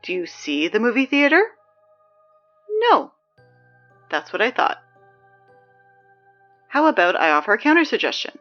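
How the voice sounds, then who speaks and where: A woman's voice: fairly high pitch, lo-fi sound, lightly acted. A woman playing a dry, sarcastic teenage girl who reads the school announcements in an audio drama.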